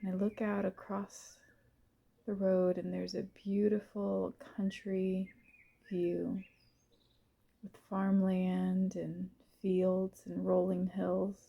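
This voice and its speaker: A woman gently leading a guided visualization in the first person, soft and unhurried, pausing between short phrases. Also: medium pitch, completely flat delivery, gravelly, slightly rough, dull audio.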